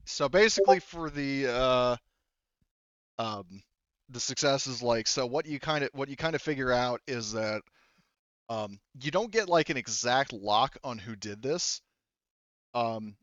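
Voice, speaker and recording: A man speaking casually as a game master, narrating in a halting, hedging way with the odd swear word, on slightly lo-fi audio. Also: medium pitch, fairly bright tone, no room sound.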